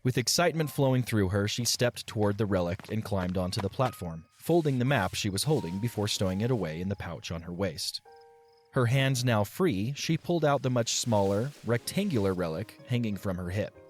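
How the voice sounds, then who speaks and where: Guy narrating an audio play, kinda low voice, measured storybook delivery, faint music behind him. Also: animated.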